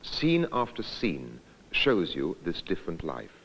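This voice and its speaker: A man giving scripted documentary narration in a measured, deliberate, reflective way. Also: low voice, wildly swooping pitch, fairly bright tone, a little hiss or hum, rough recording.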